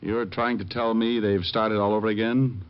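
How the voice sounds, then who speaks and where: An actor playing a tough, wry private detective in an old-time radio drama, narrating and questioning in a clipped, dry manner. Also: male voice, on the low side, level tone, normal speed, clear, resonant tone, close to an everyday voice. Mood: terse, questioning. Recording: muffled old radio recording, music behind.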